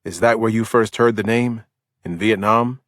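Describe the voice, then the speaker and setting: A man voicing an injured male detective who asks questions gently. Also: fairly low pitch, normal speed, no echo, studio quality, natural voice. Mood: gentle.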